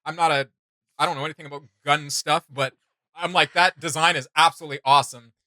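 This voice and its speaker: Bright-voiced man chatting enthusiastically. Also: on the high side, steady tone, quick pace, dry recording. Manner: enthusiastic, slangy. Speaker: host of a reaction channel. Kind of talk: casual reaction chat. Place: small home studio room, indoors.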